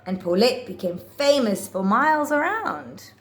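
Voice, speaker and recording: A woman reads a children's picture book aloud in a fairly high voice. Her pitch moves around expressively, and she takes her time, placing phrases deliberately and theatrically for young listeners. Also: sing-song. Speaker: children's storybook reader. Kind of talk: expressive read-aloud of rhyming picture books.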